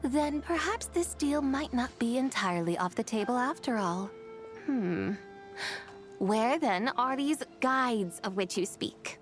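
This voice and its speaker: A haughty, teasing immortal for a fantasy game. The pitch is on the high side and swings expressively. The diction is elegant and old-fashioned, with soft music behind. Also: female voice, expressive, unhurried. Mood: skeptical, arch. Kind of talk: video game voice acting.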